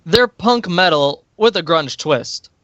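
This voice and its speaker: A man's voice: on the high side, animated, takes it slowly, fairly bright tone, dull audio, a little hiss or hum. A man playing a breezy, confident student music promoter in an amateur radio play. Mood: breezy, assured.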